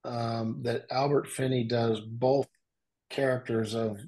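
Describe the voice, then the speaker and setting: A man in his sixties talking casually on a podcast as a guest, in a measured, halting and reflective way. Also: medium pitch, level tone, a little mellow. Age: 60s. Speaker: podcast guest and father of the host.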